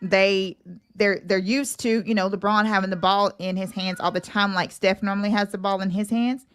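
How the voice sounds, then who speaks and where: A woman's voice: mid-range voice, fairly even intonation, moderate pace, fairly bright tone, clean tone. A woman guest on a sports talk show, chatting casually and colloquially with plenty of opinion. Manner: conversational, colloquial phrasing.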